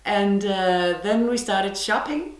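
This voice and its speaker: A woman's voice: medium pitch, roomy sound. A woman from Denmark with a Danish accent, hosting her podcast from her living room in a chatty, self-deprecating monologue. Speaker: podcast host. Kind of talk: casual monologue to camera.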